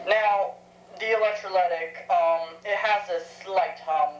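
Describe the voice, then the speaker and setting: Late-teen female, slightly low voice, casual hobbyist demo, thinking aloud, roomy echo. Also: unhurried. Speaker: vintage tech hobbyist demonstrating a tape recorder. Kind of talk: casual unscripted equipment demonstration. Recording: roomy echo with faint background noise.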